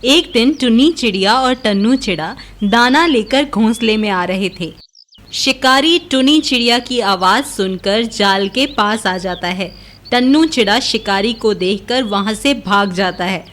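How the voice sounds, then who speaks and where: A woman's voice: on the high side, unhurried, fairly bright tone. A woman narrator reading a scripted children's moral story.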